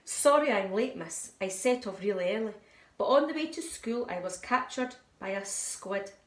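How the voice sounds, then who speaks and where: A woman's voice: medium pitch, lively intonation, normal speed, far from the mic, lightly acted. Tiddler, a woman voicing a little tale-telling fish. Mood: eager excuses. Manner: eager, apologetic excuses. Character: small boastful young boy fish who tells tall tales. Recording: echoey room.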